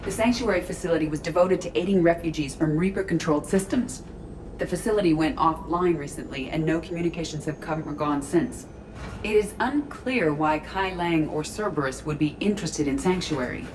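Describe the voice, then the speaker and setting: An actress playing a calm, precise female artificial intelligence in a video game, formal and measured. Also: sits low, background noise, close to an everyday voice. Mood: calm, informative.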